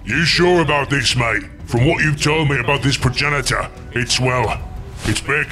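A man's voice: medium pitch, moderate pace, pushed and bright, close to an everyday voice. A man voicing a boastful pirate ship captain with a brash, breezy manner. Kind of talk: fan-made animated short film.

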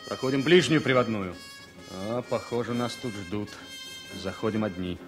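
An actor playing a brisk former military pilot with a clipped, tense manner in a Soviet radio play. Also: male voice, fairly low pitch, fairly bright tone, soft background music, lightly acted. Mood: tense focus.

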